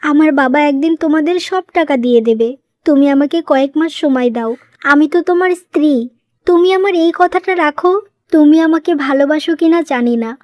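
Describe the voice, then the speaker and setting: Woman acting a high-pitched, wronged young cartoon wife. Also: darkish tone, no room sound, lightly acted. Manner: pleading, earnest. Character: pleading, wronged young wife, loving and long-suffering. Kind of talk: moral-story cartoon dubbing.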